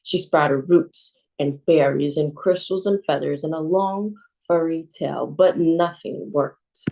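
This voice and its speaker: A woman librarian reads a children's picture book aloud, calm and expressive. Her voice sits low and sounds soft and mellow. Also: slow pace, creaky.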